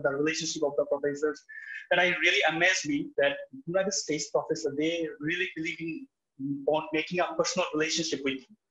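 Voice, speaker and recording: A young man from Bangladesh with a Bangladeshi accent, speaking earnestly and haltingly with many restarts on a video call from a small room, sharing his student experience. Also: a little high, pushed and bright. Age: young adult. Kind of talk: webinar talk. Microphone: laptop webcam on a video call. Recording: echoey, dull video call audio.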